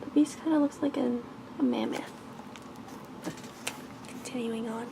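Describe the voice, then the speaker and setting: A woman's voice: fairly high pitch, fairly even intonation, a little slow. A woman reading a bedtime story aloud in ASMR style as a storyteller. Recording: clearly noisy background ambience.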